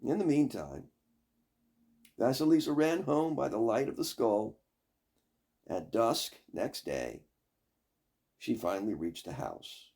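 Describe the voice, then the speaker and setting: Older male storyteller: unhurried, very animated pitch, dramatic fairy-tale reading. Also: gravelly, slightly rough. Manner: dramatic, deliberate. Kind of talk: expressive scripted fairy-tale narration.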